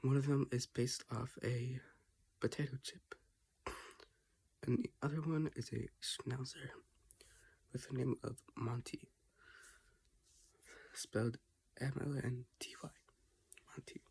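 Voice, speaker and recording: A teenager talking casually in a personal vlog from their bedroom, halting with restarts and often dropping to a half-whisper. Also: mid-range voice, fairly even intonation, creaky, a little mellow, breathy edge. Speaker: vlogger. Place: own bedroom, indoors.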